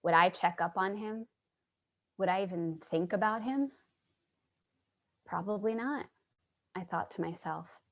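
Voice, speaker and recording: Actress as thoughtful female passerby, unhurried, mid-pitched, gentle and concerned, stage drama narration. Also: close to an everyday voice. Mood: wistful, reflective. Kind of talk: staged play reading, dialogue and monologue.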